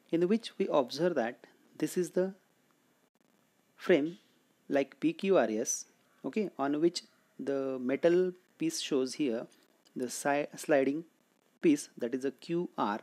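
Indian accent guy doing a physics lecture, mellow tone, pretty animated pitch, asks stuff then answers it. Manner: didactic, asks and answers own questions. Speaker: physics teacher. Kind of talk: explanatory lecture.

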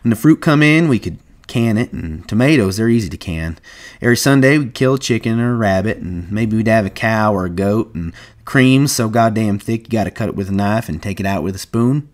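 A man's voice: lowish voice, lively intonation, fairly quick, close to an everyday voice. A man voicing a shrewd, folksy ranch hand with plain rustic American speech in an audiobook story. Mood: warm, dreamy.

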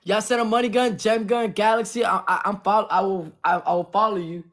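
A young man hosting a phone livestream, teasing his guest and shouting out viewers who send gifts. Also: high voice, fairly even intonation, brisk. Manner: boastful, teasing, shouting out gifters. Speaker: livestream host. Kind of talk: casual livestream banter. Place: indoor room. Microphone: phone at arm's length.

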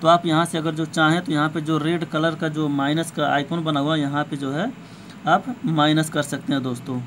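A man with a slightly high voice walks viewers through phone settings step by step. His delivery is informal and repetitive, with some hesitation as he goes. It is an amateur recording with faint noise behind him. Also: steady tone, moderate pace, a bit bright. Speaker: tech tutorial host.

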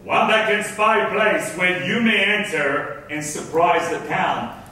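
An actor playing a scheming, vengeful merchant in classical verse on stage, with a theatrical, declamatory delivery. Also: male voice, high voice, a little slow, sharp tone. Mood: sly, boastful. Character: scheming, vengeful old merchant Barabas, gleefully defiant villain. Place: large indoor auditorium stage. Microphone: distant stage microphones. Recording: echoey theatre stage from far off.

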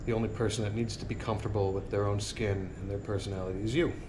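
Middle-aged male vlogger, somewhat low voice, earnest motivational rambling, recorded outdoors on a camera mic with clear ambient noise. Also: little pitch movement, fast. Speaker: sailing vlogger. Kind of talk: casual reflective monologue. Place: outdoor porch by a marina. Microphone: action camera on a tripod a few feet away.

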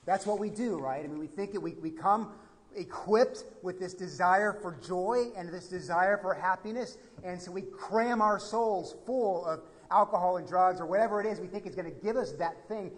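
A fairly high-voiced American pastor in his thirties preaches from a pulpit microphone. He moves from hushed, reflective lines to emphatic appeals. His voice carries the long reverb of a church hall. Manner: animated, gesturing, swings from quiet to emphatic. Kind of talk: sermon.

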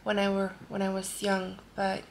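A woman in her thirties with a Norwegian accent, answering viewer questions calmly while lying in bed, recorded by a close camera in a bedroom. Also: mid-range voice, moderate pace, gravelly, slight background noise, low-quality recording. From Norway. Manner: calm and gentle, lying in bed while talking. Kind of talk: soft-spoken casual Q&A. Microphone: camera close at face level.

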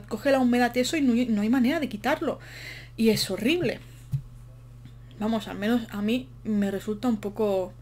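A woman's voice: medium pitch, darkish tone. Chatty woman, rambling and short of breath. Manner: chatty, rambling, self-mocking asides. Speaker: game build commentator. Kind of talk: casual voice-over while building in a game.

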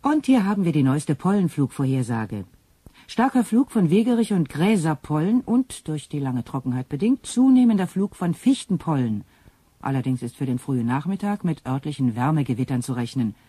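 An actor playing a formal, businesslike radio traffic announcer in a radio play, reading bulletins precisely. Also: male voice, on the high side, sing-song, normal speed, warm tone, breathy edge, no room sound. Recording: vehicle noise behind the announcement.

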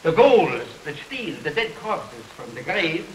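An actor in a 1930s film playing an earnest older man who explains dark matters haltingly and emphatically, repeating his phrases. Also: fairly high pitch, very animated, rough recording. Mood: earnest, insistent. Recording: hissy 1930s film soundtrack under rain. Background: rain and thunderstorm ambiance.

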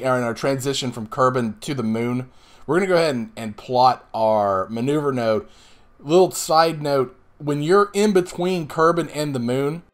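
A man in his thirties, a little hoarse today, casually explaining his moves while playing a space game, with rambling asides. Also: mid-range voice. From Northeastern US. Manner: rambling, explanatory, self-deprecating. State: slightly hoarse. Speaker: let's play host. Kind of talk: casual gameplay commentary.

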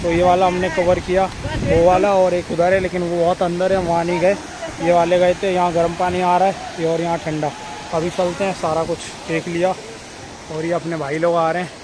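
A man, giving casual, excited vlog commentary and calling out to friends, recorded on a phone at arm's length outdoors. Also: sits high. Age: 20s. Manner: excited, informal, calling out to companions. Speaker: vlogger. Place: outdoors on forested rocky trail near waterfalls. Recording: noisy outdoor phone audio with rushing water.

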